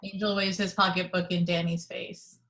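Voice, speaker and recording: A woman reading the action descriptions at a script table read, in a plain, even manner. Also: lowish voice, moderate pace. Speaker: narrator reading stage directions.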